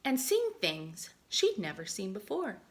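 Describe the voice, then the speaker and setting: A woman reading a picture book aloud to young children in an expressive way, adding chatty explanations and questions for her listeners. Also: medium pitch, measured pace. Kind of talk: animated read-aloud with chatty asides and questions.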